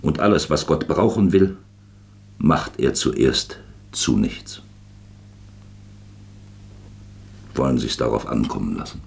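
An actor playing a shrewd, patient magistrate in a radio play, speaking deliberately and probingly with a fatherly air. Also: very deep voice, big pitch swings, vocal fry, exaggerated voice. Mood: earnest, persuading. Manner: deliberate, probing, pausing for weight. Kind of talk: German radio play acting.

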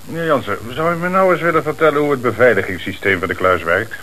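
An actor playing a calm, businesslike police inspector in a radio play, with a Netherlands Dutch accent. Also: male voice, mid-range voice, fast, natural voice. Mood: brisk, inquiring. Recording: hissy old radio drama recording.

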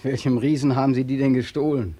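A man's voice: medium pitch, fairly even intonation, quick pace, dark tone, slightly rough, no echo, natural voice. A man playing a bitter, haunted soldier back from war in a 1940s radio drama, brooding and intense. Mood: weary, bitter. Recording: old 1940s radio studio recording.

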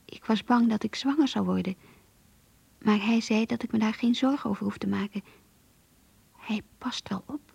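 An actress playing a shy, anxious young woman in love, reading her diary in a radio drama in an intimate, hesitant, breathy voice. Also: fairly high pitch, moderate pace, pauses often, a little mellow, no room sound, muffled recording, full character voice. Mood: worried. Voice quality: husky, breathy, half-whispered.

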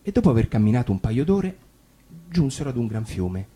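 A man narrating a fairy tale for radio, animated and bantering, sometimes stammering. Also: medium pitch, brisk. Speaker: actor reading a fairy tale. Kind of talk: acted radio storytelling.

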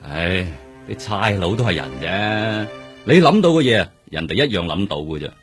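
A man's voice: lowish voice, expressive, normal speed, faint music behind, lightly acted. An actor playing a world-weary, hard-drinking police detective in his forties, speaking colloquial Hong Kong Cantonese in a radio drama. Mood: wry, world-weary. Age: 40s. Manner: colloquial, philosophizing.

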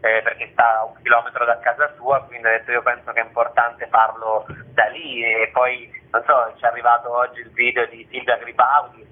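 A man giving a spontaneous interview as a theatre company member, explaining in a halting way with repeated words. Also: medium pitch, level tone. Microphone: telephone. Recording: muffled, tinny phone line.

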